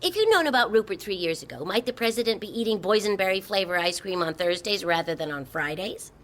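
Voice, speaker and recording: An actress playing a brisk, sceptical, sardonic television reporter in a radio drama. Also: female voice, medium pitch, lively intonation, fairly bright tone, slight background noise, natural voice. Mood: sceptical, probing. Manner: crisp, probing, sardonic.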